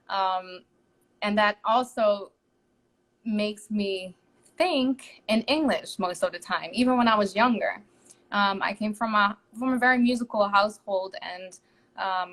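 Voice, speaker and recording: A woman's voice: medium pitch, fairly bright tone. A young woman from the Netherlands with a Dutch accent, a singer chatting casually as a guest in a live-streamed interview, laughing lightly between thoughts.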